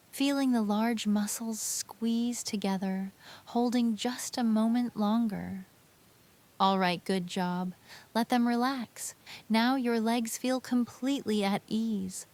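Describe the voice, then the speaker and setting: A woman narrating a scripted guided sleep meditation, giving gentle, soothing, unhurried instructions. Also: medium pitch.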